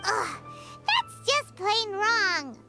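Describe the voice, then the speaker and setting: A tiny floating fairy sidekick from a video game, with an extremely high, childlike squeak. She is chirpy and nosy, swinging widely in pitch over prominent background music. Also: sing-song, drawn-out words, heavily acted. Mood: disgusted. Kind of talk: video game character dialogue.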